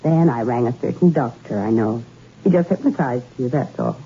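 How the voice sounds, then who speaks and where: A woman's voice: rumbling low, animated, moderate pace, slight background noise. An actress playing a rich young woman confessing to murder, cool and bitter, in 1960s radio drama style on an old muffled recording. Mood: cool confession. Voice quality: cool, confessional, then anguished.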